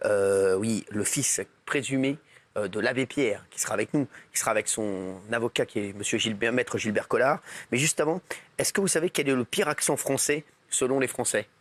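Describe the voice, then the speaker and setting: A man's voice: mid-range voice, a little fast. A man hosting a TV talk show, joking and teasing his panel in studio banter.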